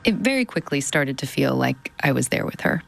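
A woman's voice: very deep voice, sing-song, a little fast, creaky, slight background noise. A woman, around her thirties, narrating a scripted audio documentary as its host. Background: music bed.

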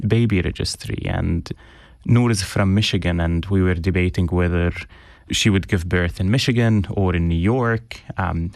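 A young man from Syria, an interview guest speaking earnestly, pausing with frequent ums and restarts. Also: low-pitched, vocal fry, a little mellow, no room sound, clean studio sound. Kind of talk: reflective interview conversation.

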